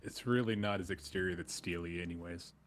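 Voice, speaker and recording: A man's voice: low voice, a little fast, faint music behind. A man playing a new agent in a roleplay, needling the others with sarcastic jabs. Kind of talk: in-character roleplay banter.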